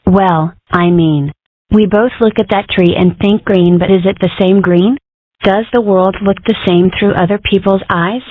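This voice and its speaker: A woman's voice: mid-range voice, steady tone, a little slow, dry recording, natural voice. Woman as opinionated cartoon kid, earnest, talkative, philosophical, close dry sound, narrow muffled band. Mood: musing. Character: opinionated, philosophical ten-year-old cartoon girl. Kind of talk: comic strip dub. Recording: muffled band-limited audio.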